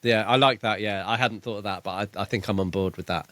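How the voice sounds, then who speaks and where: Low-voiced man chatting casually, dry close sound. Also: fast, pushed and bright, dry recording. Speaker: podcast co-host. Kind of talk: casual book discussion chat.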